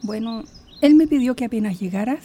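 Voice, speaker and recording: A woman's voice: fairly high pitch, fairly quick, mellow, slight background noise, lightly acted. A woman playing a young confidante in a radio drama. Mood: careful, confiding. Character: Lucy, young confidante bearing a secret letter.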